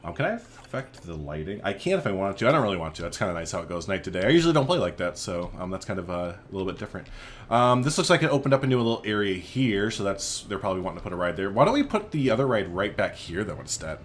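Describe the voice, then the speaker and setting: A man's voice: low voice, big pitch swings, rapid-fire, a little hiss or hum. A man giving casual, chatty gameplay commentary as a let's play host, thinking aloud informally as he goes.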